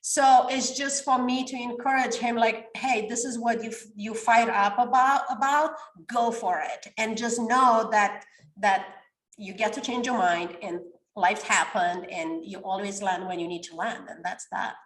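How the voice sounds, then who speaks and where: A woman's voice: a little high, fairly even intonation, measured pace, roomy sound, rough recording. A woman in her forties from Romania, a coach talking animatedly in a podcast interview and sometimes searching for words. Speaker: guest, coach.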